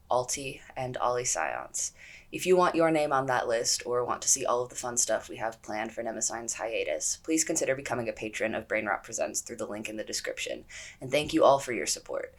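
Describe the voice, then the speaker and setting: A man's voice: mid-range voice, lively intonation, fairly quick, fairly bright tone. Man reading a scripted podcast announcement. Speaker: podcast presenter.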